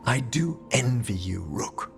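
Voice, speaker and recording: Genteel, lilting scholarly gentleman. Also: medium pitch, animated, slow, gravelly, music in the background. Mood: courteous, admiring. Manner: refined, effusive, eloquent. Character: genteel, courteous, eccentric necromancer scholar companion. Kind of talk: game companion dialogue acting.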